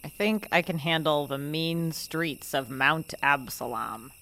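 An actress playing a wry, stubborn older small-town woman with a dry, unhurried delivery in an audio drama. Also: low voice, creaky, sharp tone, heavily acted. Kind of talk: naturalistic audio drama acting.

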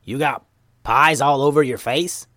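A man's voice: mid-range voice, measured pace, fairly bright tone, dry recording, clean studio sound, voice pushed up, natural voice. A man voicing an easygoing, folksy ninja master in a children's story, with a casual drawl. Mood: amused, puzzled.

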